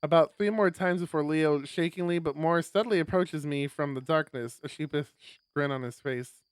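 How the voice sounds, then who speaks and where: A mid-pitched streamer reads a visual novel aloud and chats between passages. The delivery is animated, rambling and sweary, recorded dry and close. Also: moderate pace, clean tone, dry recording. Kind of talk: casual live game stream banter and narration.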